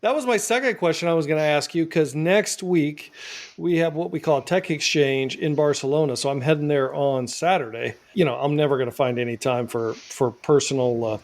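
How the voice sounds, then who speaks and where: A man's voice: a little high, brisk. Jokey, upbeat podcast host man. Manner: jokey, animated storyteller. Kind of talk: casual podcast chat.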